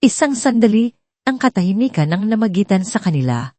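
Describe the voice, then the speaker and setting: A woman's voice: medium pitch, big pitch swings, a little fast, dark tone, no room sound. A woman narrating a scripted dramatic story.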